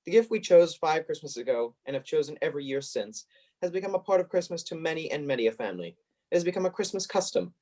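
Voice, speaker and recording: An actor playing a courteous 1930s radio announcer, reading a sponsor's message in a formal, earnest manner. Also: male voice, fairly high pitch, mellow, natural voice. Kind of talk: scripted 1930s radio advertising.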